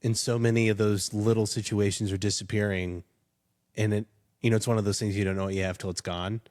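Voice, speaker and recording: Lowish-voiced man rambling casually. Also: level tone, fast talker, darkish tone. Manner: rambling, filler-heavy, self-deprecating. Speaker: podcast guest. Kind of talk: casual chat.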